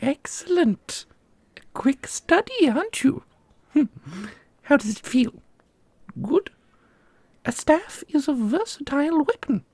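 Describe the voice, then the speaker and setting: A woman playing an ancient custodian and combat mentor in an ASMR roleplay, doting and sharply sarcastic, with a husky, creaky voice. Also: high-pitched, sing-song, slow pace, long pauses, dark tone, no echo, exaggerated voice. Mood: doting, instructive. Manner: theatrical, teasing, instructive. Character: millennia-old custodian mentor, doting on pupil, witheringly sarcastic.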